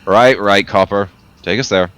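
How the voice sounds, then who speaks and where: A man's voice: lowish voice, moderate pace, fairly bright tone, slight background noise, natural voice. A man playing a cynical, foul-mouthed space station worker in a tabletop game, grumbling and sardonic. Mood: dismissive.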